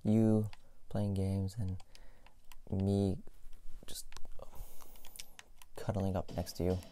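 Man acting a slow, mellow teasing boyfriend. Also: deep voice, flat delivery. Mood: cuddly, playful. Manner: soft, teasing, intimate, unhurried. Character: playful, affectionate young boyfriend, teasing and clingy. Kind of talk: ASMR boyfriend roleplay. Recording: faint keyboard and mouse clicking noise. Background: game sounds, keyboard typing and clicking.

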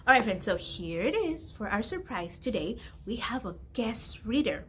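A young woman hosting a library storytime, talking casually to small children in a sing-song, animated way. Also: on the high side, moderate pace. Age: young adult. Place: indoor library room with bookshelves. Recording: clear background room noise.

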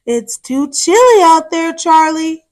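A woman's voice: very high-pitched, expressive, takes it slowly, darkish tone, full character voice. A woman voicing a firm, protective mother armadillo in a children's storybook, with a motherly manner.

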